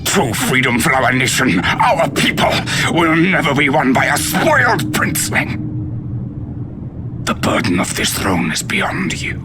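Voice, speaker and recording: A man's voice: mid-range voice, big pitch swings. An actor playing a stern, weary old emperor and disappointed father in a video game, grave and imperious. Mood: scornful, grave. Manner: grave, imperious, measured speech. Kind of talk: theatrical video game cutscene acting. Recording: music behind the voice. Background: cutscene music.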